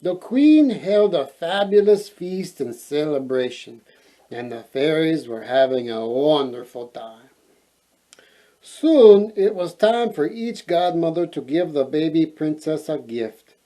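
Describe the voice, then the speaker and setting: A man with a fairly high, mellow voice hosts a storytime. He reads at an unhurried pace and playfully drifts into casual asides to his listeners. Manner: playful, digressive, expressive reading. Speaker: storyteller host.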